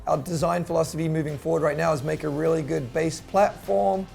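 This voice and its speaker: A middle-aged man with a New Zealand accent tells the story of his company in a relaxed, casual way, stopping often on "uh". His voice sits a little high and has a strong gravelly fry. Soft music plays faintly behind him. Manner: relaxed, with frequent "uh" pauses. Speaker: company co-founder. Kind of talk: casual promotional chat.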